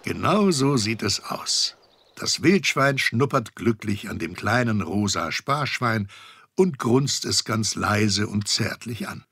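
A man narrating a children's audio drama as an expressive, wry storyteller. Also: on the low side, takes it slowly, creaky, breathy edge, no room sound, exaggerated voice. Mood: wry, suspenseful.

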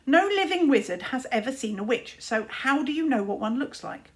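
A woman's voice: high-pitched, big pitch swings, moderate pace, pitched above the performer's own voice. A woman voicing a scornful older-brother boy wizard in a storybook, with sneering, drawling emphasis. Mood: challenging.

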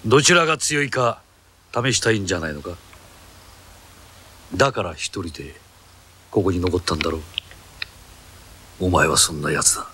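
A man's voice: deep voice, normal speed, long pauses, pushed and bright, a little hiss or hum. A middle-aged man dubbing film characters, stern and commanding, often menacing. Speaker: voice actor dubbing film roles. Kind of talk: film dubbing, dramatic acting.

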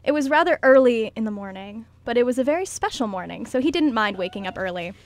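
A woman's voice: a little high, lively intonation, fast. A woman reading scripted story narration as the narrator of an amateur radio drama.

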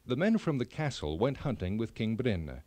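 Male narrator for children's fairy tales, expressive scripted read, animated pitch, close dry sound. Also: moderate pace, no echo. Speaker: storyteller.

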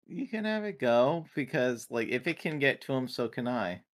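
A man's voice: medium pitch, big pitch swings, moderate pace. A man chatting casually as a player in a tabletop roleplaying game.

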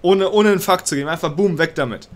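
A man's voice: high-pitched, fast. A young man with a cold, ranting agitatedly and swearing while he reads a law text aloud and comments on it. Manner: agitated, swears, mixes in English words. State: has a cold. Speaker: political commentator. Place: small room indoors.

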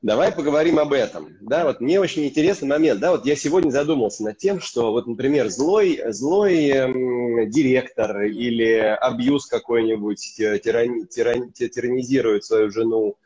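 A man's voice: mid-range voice, normal speed, warm tone, breathy edge. A man in his thirties, a gynecologist guest on a video call, speaking earnestly with some hesitations. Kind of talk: casual video call chat. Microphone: video call webcam. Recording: dull lo-fi webcam audio.

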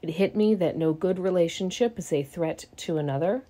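Woman, low pitch, scripted sermon, measured delivery, deliberate pauses. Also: dark tone. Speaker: service speaker.

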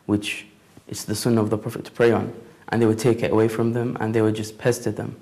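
A man's voice: on the low side, flat delivery, fast talker, creaky, warm tone. A young man of Iraqi and Lebanese heritage, interviewed in a studio, earnestly recalling what he had heard. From Iraq and Lebanon. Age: young adult. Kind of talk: casual recollection. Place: dark studio.

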